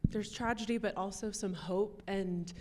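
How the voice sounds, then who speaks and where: A woman's voice: medium pitch, steady tone, normal speed, dark tone, slight background noise. A woman hosts a post-screening conversation, praising the film and asking questions. Her voice sits in a middle register, and her delivery is appreciative and easygoing, with a few fillers. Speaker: interviewer, programming director.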